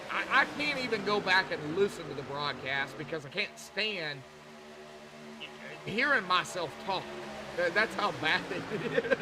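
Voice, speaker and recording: A man's voice: high voice, sing-song, unhurried, noisy background. A man with a Southern US accent calling a race live in a folksy, rambling way, often repeating phrases. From Arkansas, southern United States. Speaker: race commentator. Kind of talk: live sim racing commentary, folksy and conversational.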